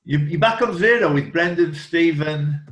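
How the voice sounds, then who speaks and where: A man hosting a quiz on a group video call, reading questions in a deliberate way with informal banter. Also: a little high, fairly bright tone, a little hiss or hum. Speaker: quiz host and question setter. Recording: echoey room over video call.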